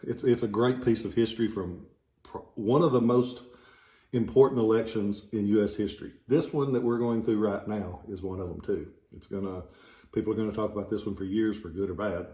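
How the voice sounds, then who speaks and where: A man's voice: fairly low pitch, steady tone, brisk, mellow. A man with a slight Southern American accent, a relic dealer casually showing old ballots, rambling with folksy jokes and asides. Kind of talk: casual informational video. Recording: echoey room.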